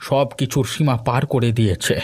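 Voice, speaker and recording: A man's voice: mid-range voice, fairly even intonation, fairly quick, noisy background, close to an everyday voice. Man playing a hot-headed older village man. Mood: indignant. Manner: indignant, scolding. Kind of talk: cartoon dialogue acting.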